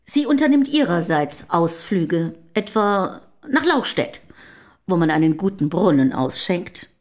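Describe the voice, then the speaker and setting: A proud, coolly guarded wife. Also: female voice, on the low side, big pitch swings. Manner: measured, formal, curt and dignified. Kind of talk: German radio play acting.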